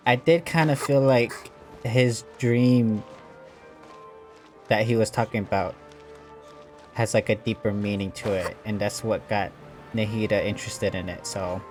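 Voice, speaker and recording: A man's voice: lowish voice, steady tone, measured pace, long pauses, darkish tone. A man casually commenting while playing a game, musing with lots of fillers. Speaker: gamer. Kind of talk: let's play commentary. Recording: voice over game audio with music. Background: music.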